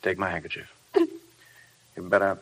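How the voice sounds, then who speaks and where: An actor playing a moody, commanding upper-class gentleman with an English accent, brusque and self-possessed, in 1950s radio drama. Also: low voice, huge pitch swings, a little slow, slightly rough, exaggerated voice. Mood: brusque, brooding.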